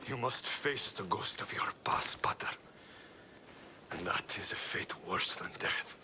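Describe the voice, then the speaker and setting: An actor playing a bitter, passionate young mercenary son in a dramatic video game, with a gravelly, intense delivery. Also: male voice, lowish voice, expressive, unhurried, whispered, full character voice. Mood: hushed, bitter. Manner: intense, swinging from hushed to shouting.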